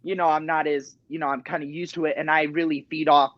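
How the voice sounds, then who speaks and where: A man's voice: flat delivery, quick pace, no echo, dull audio. A sixteen-year-old boy, a guest tribute artist, chatting casually with lots of "you know" fillers from a small home studio over a video call. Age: teens. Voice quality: high talking voice. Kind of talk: casual interview chat.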